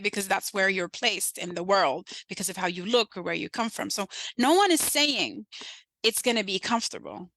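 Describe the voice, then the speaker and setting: Earnest Sudanese woman with a bright voice. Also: fairly high pitch, dry recording, poor audio. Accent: Sudanese, with a light British lilt. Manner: earnest and emphatic, often adds "right?" and laughs softly. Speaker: podcast guest, facilitator. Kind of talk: conversational podcast discussion.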